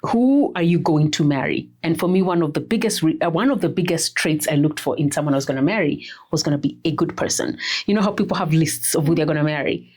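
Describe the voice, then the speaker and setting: A woman's voice: deep voice, expressive, fast, darkish tone, husky. A woman in her fifties, a roundtable guest who reflects and tells stories in casual chat. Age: 50s.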